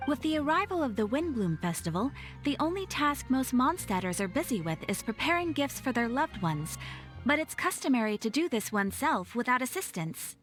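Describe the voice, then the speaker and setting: Composed guild receptionist, calm, formal, high-ish voice, lively pitch. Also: female voice, normal speed. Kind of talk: video game dialogue. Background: game music.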